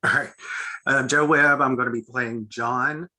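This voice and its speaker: A man's voice: medium pitch, fairly even intonation, unhurried, pushed and bright. A man who is an actor, casually introducing himself to a group.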